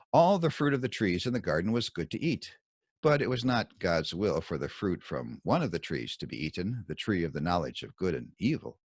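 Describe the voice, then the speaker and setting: A man reading his own scripted Bible commentary as narration, in measured, deliberate short phrases. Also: fairly low pitch, no room sound, clean studio sound.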